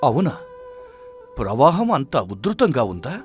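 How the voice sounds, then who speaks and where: A man playing a philosophical old village father in a moral story, speaking in a measured, stoic, reassuring way. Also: medium pitch, sing-song, faint music behind. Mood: calm, accepting.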